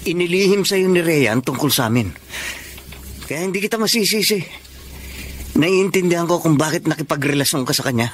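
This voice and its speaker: An actor playing a calculating ex-boyfriend who speaks calmly and deliberately in a radio drama. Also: male voice, on the high side, slight background noise. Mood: smug. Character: ex-boyfriend Nick, smug and calculating.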